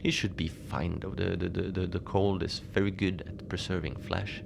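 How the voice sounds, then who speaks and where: A man playing a lonely, unbothered polar station keeper, telling strange tales in a halting, understated, conversational way for a fiction podcast. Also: sits low, vocal fry. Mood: wistful, musing. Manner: halting, conversational, understated storytelling with small hesitations. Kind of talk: cozy horror audio fiction monologue. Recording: steady noise behind the voice.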